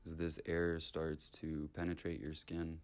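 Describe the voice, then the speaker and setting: A man leading a guided meditation in short, unhurried, soothing phrases with long gaps between them. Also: extremely low pitch, completely flat delivery.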